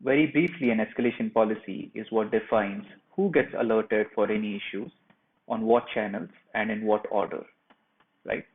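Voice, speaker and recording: Thin narrow-band audio, man, creaky voice, step-by-step tutorial narration, plain instructional delivery. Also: lowish voice, moderate pace. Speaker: software tutorial presenter. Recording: muffled phone-like narrow band.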